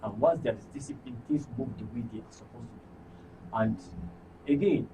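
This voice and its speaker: A man's voice: mid-range voice, moderate pace. A Nigerian man delivering a formal campaign statement as a candidate. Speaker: guild election candidate. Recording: distant mic, clearly noisy background.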